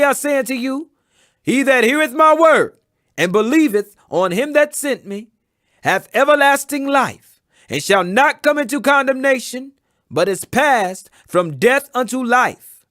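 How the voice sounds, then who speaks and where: A man giving a solemn, emphatic and measured dramatic reading of scripture as an audiobook. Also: extremely high pitch, a bit bright, no echo, clean studio sound. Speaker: Bible reader.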